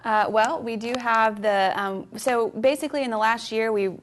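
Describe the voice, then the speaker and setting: A woman guest on a local TV show, talking earnestly about local food work with many pauses and restarts. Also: a little high, steady tone, a little hiss or hum. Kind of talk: TV interview.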